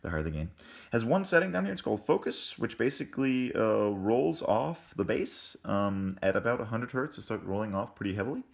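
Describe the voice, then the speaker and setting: A man with a very deep voice giving a casual unboxing review, talking in a halting way with many ums and restarts, in an indoor room. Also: sing-song, vocal fry. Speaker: tech reviewer.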